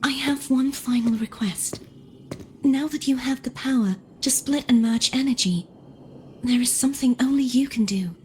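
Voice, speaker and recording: A woman playing a gentle, resigned, self-sacrificing young woman in a video game, speaking softly and breathily with solemn, wistful deliberation. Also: high-pitched, moderate pace, a little hiss or hum, natural voice. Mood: solemn pleading. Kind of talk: dramatic video game voice acting.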